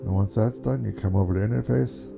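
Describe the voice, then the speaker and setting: A man giving a casual, unscripted software walkthrough, explaining things step by step in an informal way. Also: fairly low pitch, brisk, vocal fry, music in the background. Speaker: tutorial presenter.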